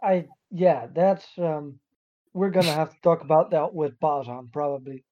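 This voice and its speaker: A man's voice: fairly high pitch, moderate pace. Sardonic man on a dull lo-fi mic. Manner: profane, sardonic. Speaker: podcast host asking questions. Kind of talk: casual banter. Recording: dull lo-fi microphone sound.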